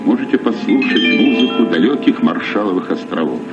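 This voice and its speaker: An actor playing a courteous, worldly naval captain in a radio play. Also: male voice, fairly low pitch, lively intonation, fairly quick, loud music behind the voice. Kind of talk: Soviet radio play acting. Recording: muffled, distant old radio recording.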